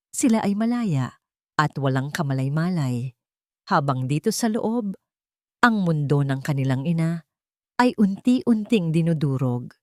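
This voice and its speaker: Lower-voiced woman, animated dramatic story narrator. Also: no room sound, clean studio sound.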